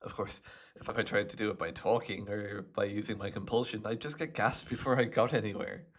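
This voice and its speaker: A man's voice: on the low side, steady tone. A man acting out a smug, chatty imprisoned supervillain with hypnotic telepathy, musing playfully to the listener in an ASMR roleplay. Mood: scheming. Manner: playful, smug, unhurried musing with little chuckles.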